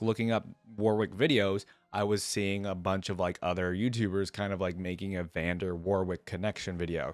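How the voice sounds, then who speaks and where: A man's voice: on the low side, no echo. A man hosting a reaction video, talking casually to viewers and loosely thinking aloud in a conversational way. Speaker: host of reaction video channel.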